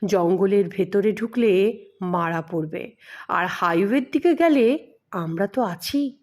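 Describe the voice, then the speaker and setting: Woman reading as a slow, menacing male thug. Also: medium pitch, animated, darkish tone. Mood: frustrated. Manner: bullying, demanding.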